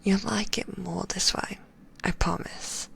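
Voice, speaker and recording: A woman voicing a possessive, sweetly manipulative female magician, whispering in a soft, cooing and softly condescending way. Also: medium pitch, steady tone, slow, creaky, full character voice. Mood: teasing. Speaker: ASMR roleplay performer. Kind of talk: whispered ASMR roleplay.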